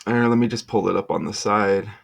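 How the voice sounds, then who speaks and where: A man presenting an online video in a casual, off-the-cuff way, halting and emphatic, often checking in with the listener. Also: fairly low pitch, vocal fry. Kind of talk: casual off-the-cuff explainer with numerology.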